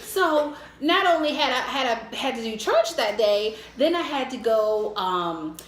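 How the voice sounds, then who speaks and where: A middle-aged American woman telling a casual, humorous personal story in a candid, animated way. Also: a little high, a little slow. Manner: animated, candid, acts out her own thoughts. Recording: echoey room with faint noise.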